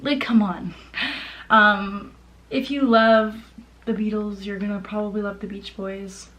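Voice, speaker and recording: A woman's voice: medium pitch, steady tone, a little slow, a bit bright, rough recording. A young woman chatting casually as a vlogger, gushing and rambling in an informal way. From Ontario, Canada. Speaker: vlogger showing a personal collection. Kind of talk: casual chat to camera.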